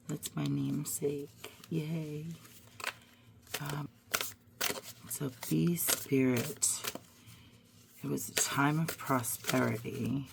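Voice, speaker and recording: A hoarse woman getting over a cold, musing aloud as she pulls and reads tarot and oracle cards. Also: very deep voice, very noisy. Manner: musing aloud, drifting to soft murmurs. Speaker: tarot reader. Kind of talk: casual card-reading vlog.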